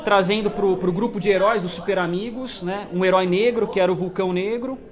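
A high-pitched Brazilian man talks through cartoon history at an indoor table covered in toys, constantly tossing in little tag questions. Other voices and chatter hum around him. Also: steady tone, quick pace. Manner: explanatory, adds lots of tag questions. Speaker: toy collector guest. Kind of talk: casual panel chat.

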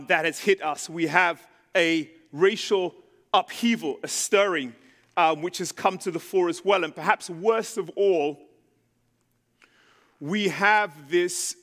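A man's voice: sits high, a bit bright. A man from London with a London English accent, preaching as a guest speaker on a church stage in a conversational, self-deprecating way with frequent ums. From London, England. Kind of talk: sermon. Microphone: handheld microphone. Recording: long reverb in a church hall.

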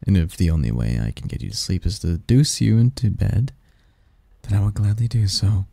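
A man's voice: fairly low pitch, very animated, a little fast, gravelly, warm tone, no room sound, full character voice. A man voicing a doting, possessive sleep demon lover in an intimate romantic ASMR roleplay, teasing and tender in courtly phrasing. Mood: caring, coaxing.

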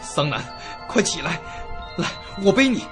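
Casting: male actor as frightened young man, horror radio play, high voice, wide swings from hushed to panicked, music underneath. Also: big pitch swings, moderate pace. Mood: urgent. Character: terrified young man narrating his own horror ordeal. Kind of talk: Chinese suspense radio drama acting and first-person narration. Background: dramatic background music.

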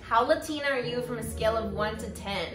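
A woman's voice: fairly high pitch, quick pace. A young woman from Colombia with a Colombian accent, chatting playfully on a livestream in a home kitchen. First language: Spanish. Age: young adult. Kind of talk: casual chat while reading chat on stream. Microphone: far camera. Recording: roomy and distant, music behind.

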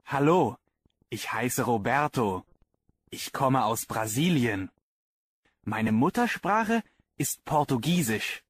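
A husky young man student, read by a man introduces himself slowly, with a lively, rising and falling pitch. Each model sentence is spoken with care. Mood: careful. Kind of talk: slow scripted model sentences.

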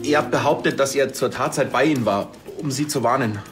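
A man's voice: mid-range voice, fairly quick, music in the background, lightly acted. An actor playing a naive, eager male police officer, the sidekick in a TV crime comedy. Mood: agreeable.

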